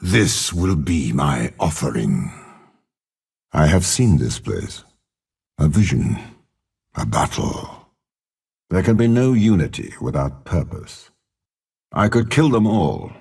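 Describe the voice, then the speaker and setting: An imperious, ruthless old warlord from a dark fantasy game. The voice sits extremely low and is thick with gravel. He speaks with measured, haughty menace, swinging widely in pitch like someone who shares his body with a demon. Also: exaggerated voice. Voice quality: very deep, gravelly, rasping. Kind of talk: dramatic video game character voice lines.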